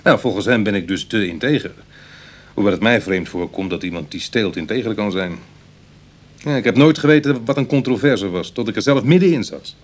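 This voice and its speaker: An actor playing a charming, self-mocking ex-burglar in a Dutch radio drama, with a Netherlands accent and a wry, chatty manner. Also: male voice, on the low side, animated, fairly quick. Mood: wry, confiding. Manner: teasing, hesitant asides, chuckling irony.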